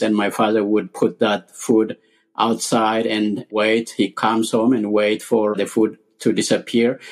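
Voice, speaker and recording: A middle-aged man from Ethiopia, a podcast guest earnestly telling his life story in short, repeated phrases. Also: lowish voice.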